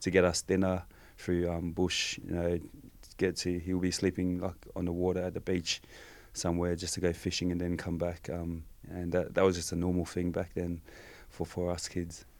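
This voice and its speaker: Very flat, low-voiced man. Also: moderate pace, few pauses, no room sound. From Samoa. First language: Samoan. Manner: soft-spoken, with many fillers. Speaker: guest, rugby league player. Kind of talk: casual podcast interview.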